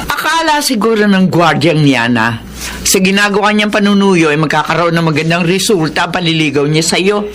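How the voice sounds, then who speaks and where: A woman's voice: lowish voice, expressive, moderate pace, slight background noise, lightly acted. A woman acting in a Filipino radio drama as a stern, disapproving older mother who scolds curtly. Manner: scolding, suspicious, curt.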